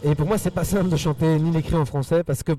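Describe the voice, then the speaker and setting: A man with a Belgian French accent whose first language is Spanish, earnestly answering interview questions in quick rushes with repeated words. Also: a little high, fairly even intonation, mellow, distant mic. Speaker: musician guest.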